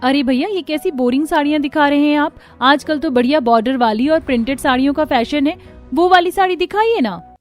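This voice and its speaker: A woman plays a young cartoon daughter-in-law in a fairly high voice. She is chatty, emphatic and sure of herself, with light music underneath. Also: fairly quick, lightly acted. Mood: confident, trendy. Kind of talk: Hindi cartoon story acting.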